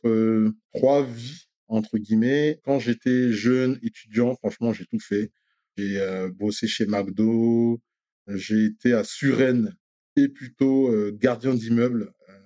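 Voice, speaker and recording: A man in his thirties from Rwanda, talking as an interview guest, hesitant with many pauses and restarts. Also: mid-range voice, fairly even intonation, mellow, dry recording, clean studio sound. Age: 30s. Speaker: guest, company director. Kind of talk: casual interview chat.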